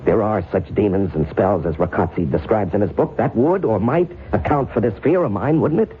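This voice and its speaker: An actor playing a frightened, agitated novelist in an old-time radio drama, halting, repeating himself and stammering. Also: male voice, fairly low pitch, close to an everyday voice. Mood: insistent, anxious. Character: frightened, haunted male novelist, agitated and defensive. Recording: noisy, muffled phone-like old radio recording.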